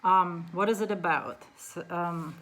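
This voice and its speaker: A middle-aged woman whose first language is Russian, speaking English with a Russian accent in a casual book talk, unhurried, with many ums. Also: on the low side, fairly bright tone, poor audio. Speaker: book reviewer.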